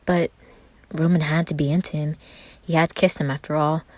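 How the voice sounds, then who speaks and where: A woman narrating scripted fan fiction as an expressive storyteller. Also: deep voice, moderate pace, dry recording.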